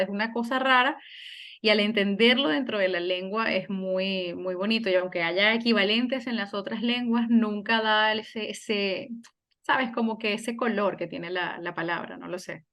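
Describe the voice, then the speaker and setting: Woman from Venezuela, middle-aged, reflective interview talk, medium pitch, pauses and restarts. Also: a bit bright. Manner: reflective, many restarts and fillers. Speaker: singer and German teacher. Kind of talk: conversational interview.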